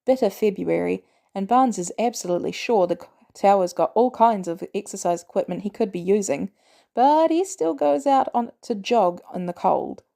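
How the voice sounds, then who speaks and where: A woman reading fiction aloud as an audiobook narrator, in an expressive storytelling style. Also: mid-range voice, moderate pace, vocal fry. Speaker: audiobook reader.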